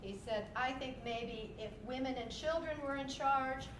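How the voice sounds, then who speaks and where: A woman's voice: sits high, unhurried. A woman theater performer giving a staged reading to a live audience. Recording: echoey, noisy live stage recording.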